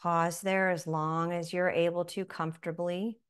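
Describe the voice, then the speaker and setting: Woman, meditation guide, very slow delivery, soft-spoken, lowish pitch, long pauses. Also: little pitch movement, clean tone.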